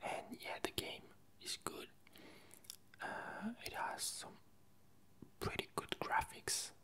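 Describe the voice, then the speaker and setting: An ASMR creator rambling casually in a soft, breathy, unvoiced whisper, halting and unhurried while searching for words. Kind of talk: whispered ASMR ramble about games and films.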